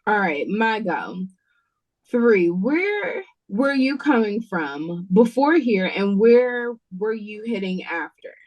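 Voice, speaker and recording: A woman's voice: mid-range voice, sing-song, takes it slowly, highs cut off. A woman playing a bossy, impatient, sarcastic waitress in an audio play read-through over a video call. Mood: impatient, probing.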